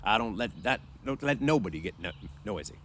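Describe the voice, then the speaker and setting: Storybook ranch hand, male reader acting: bossy, touchy, slangy, scolding, very animated intonation. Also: fairly low pitch, a little fast, slight background noise. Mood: angry. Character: wiry, quick-tempered male ranch hand, bossy and defensive. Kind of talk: storybook read-aloud.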